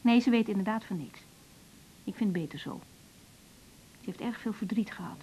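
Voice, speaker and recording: A woman's voice: medium pitch, moderate pace, dark tone, a little hiss or hum, natural voice. An actress playing an earnest, wounded young female doctor in a radio drama, emotionally open and introspective. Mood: guarded, irritated. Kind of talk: naturalistic radio drama acting, diary-like monologues. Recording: dull radio recording with music.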